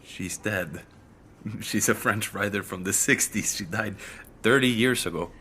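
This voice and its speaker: A man's voice: fairly low pitch, unhurried, pushed and bright, a little hiss or hum. An actor playing a puzzled, earnest young man who keeps protesting in confusion. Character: bewildered young man writing to a dead author.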